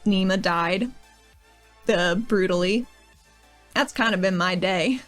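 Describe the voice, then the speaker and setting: A woman's voice: medium pitch, slow, a bit bright, faint music behind. A woman playing her character in a tabletop game, speaking in a casual, rambling way as she recaps events. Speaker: player.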